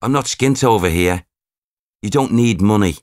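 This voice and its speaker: A man voicing a cheeky young male spirit with a Liverpool accent, talking cocky and loud. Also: lowish voice, lively intonation, normal speed, no echo, clean studio sound, voice pushed up, natural voice.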